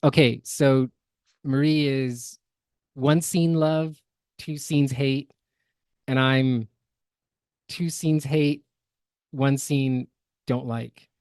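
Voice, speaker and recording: A man co-hosting a podcast, giving casual critique in a hesitant, measured way. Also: medium pitch, fairly even intonation, a little mellow, clear, resonant tone, no echo, clean studio sound. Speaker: podcast co-host.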